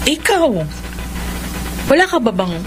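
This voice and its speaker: A woman's voice: high-pitched, sing-song, measured pace, noisy background. A woman acting in a Filipino radio drama as a sentimental younger sister in her late twenties, earnest and affectionate. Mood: apologetic, concerned.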